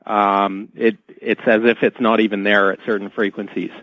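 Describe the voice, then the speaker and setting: A man's voice: on the low side, flat delivery, normal speed, dry recording. Man explaining technical matters, muffled audio. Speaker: expert guest on a radio show. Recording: dull band-limited radio call audio.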